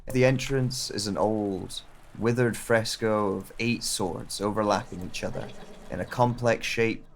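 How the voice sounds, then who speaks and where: A man playing a brooding wanderer with lost memories, narrating an audio drama in the first person in a measured, reflective way. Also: fairly low pitch, steady tone. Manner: measured, reflective, with deliberate pauses. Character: brooding amnesiac wanderer narrating his journey. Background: chatter of other voices.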